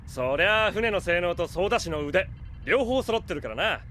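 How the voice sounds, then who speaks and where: An actor playing a cocky, brash spaceship helmsman with rough, boastful speech in a Japanese audio drama. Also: high-pitched, animated, normal speed, bright, forceful tone, a little hiss or hum.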